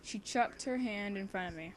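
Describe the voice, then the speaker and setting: Young woman, mid-range voice, casual amateur read-aloud, excitable, informal, easily sidetracked, chatty asides. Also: mid-range voice, normal speed, a little hiss or hum, low-quality recording. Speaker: amateur fan fiction reader and co-host.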